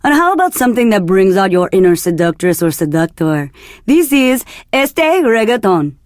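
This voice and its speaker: A woman's voice: mid-range voice, sing-song, moderate pace, no echo, clean studio sound, lightly acted. An actress playing a flirty, confident woman radio DJ in a video game, with a seductive, teasing delivery. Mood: flirty, upbeat. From Argentina. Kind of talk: scripted game radio DJ patter.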